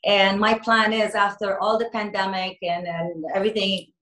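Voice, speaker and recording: A woman's voice: medium pitch, level tone, moderate pace, sharp tone, echoey room. A woman singer raised in America answering interview questions in casual talk, hesitant with many fillers and restarts. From United States.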